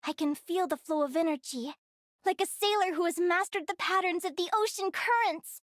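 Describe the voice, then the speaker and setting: A woman voicing a curious young female explorer in a video game, speaking in an earnest, expressive, youthful way. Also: extremely high pitch, normal speed, dry recording, clean studio sound. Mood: awed, confident. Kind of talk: English video game character voicelines.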